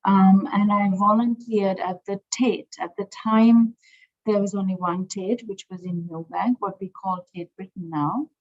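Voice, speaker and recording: A middle-aged woman from Sri Lanka, a museum director speaking as a guest in an interview, thoughtful and searching for words with many pauses and fillers. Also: medium pitch, steady tone, a little mellow. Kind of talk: interview conversation. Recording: dull lo-fi sound.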